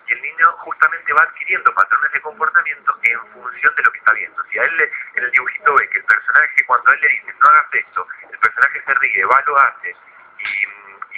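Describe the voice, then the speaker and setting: A man's voice: mid-range voice, breathy edge. Argentine man explaining on a tinny phone line. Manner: halting, didactic, with repeated words. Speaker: guest expert, psychologist. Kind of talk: radio interview, explaining. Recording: phone-like narrow radio line.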